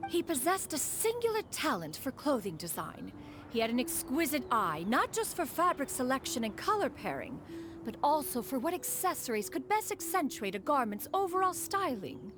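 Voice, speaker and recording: An actress playing a proud, fussy immortal master in a video game, speaking in formal, archaic, imperious diction. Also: female voice, high-pitched, very animated, normal speed, background noise. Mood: reflective.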